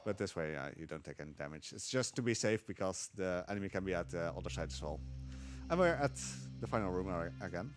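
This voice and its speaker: A man calmly explaining tricks while playing a game live, with frequent fillers. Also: low voice, fast, over music. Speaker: speedrunner. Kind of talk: live speedrun commentary.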